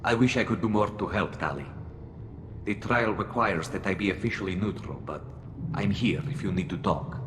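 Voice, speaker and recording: A man playing a grave, sympathetic middle-aged alien ship captain in a video game, formal and measured, through a helmet filter. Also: on the low side. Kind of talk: video game cutscene acting. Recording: reverberant hall, noisy ambience behind.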